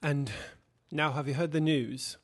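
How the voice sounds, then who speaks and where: A man acting a cautious, concerned gentleman lawyer in an audio drama, formal and questioning. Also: fairly high pitch, steady tone, measured pace. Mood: stern, questioning.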